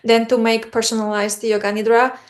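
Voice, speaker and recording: A woman's voice: fairly high pitch, level tone, brisk. A woman from Poland with a Polish accent, a coach giving a conversational testimonial.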